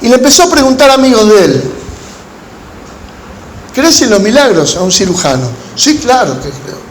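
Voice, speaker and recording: An Argentine man preaches in a noticeably high voice, phrasing deliberately and leaving pauses as he moves between teaching and storytelling. The sound is echoey, with faint noise behind him. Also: big pitch swings. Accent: Argentine Spanish. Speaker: pastor. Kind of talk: sermon to a gathering of pastors, with storytelling. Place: large room at a church conference. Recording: echoey hall with background noise.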